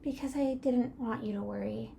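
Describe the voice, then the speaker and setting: A woman voicing a gentle, timid teenage girl who speaks softly and stammers when unsure. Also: on the high side, moderate pace, vocal fry. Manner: soft, hesitant, self-doubting, stammers. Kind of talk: audio drama acting. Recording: noisy ambient background.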